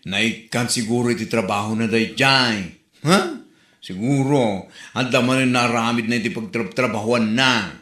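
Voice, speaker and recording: A man's voice: medium pitch, measured pace, a bit bright, roomy sound. A man playing a gossiping neighbor in a comedic audio drama, with an exclaiming manner.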